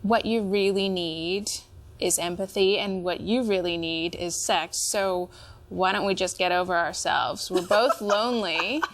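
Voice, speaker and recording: Slow-speaking woman with a lowish voice. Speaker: client in couples therapy. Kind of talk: reflective conversation.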